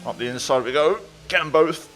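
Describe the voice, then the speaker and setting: A man's voice: fairly high pitch, brisk, fairly bright tone, soft background music. A man giving casual, upbeat gameplay commentary as a video creator.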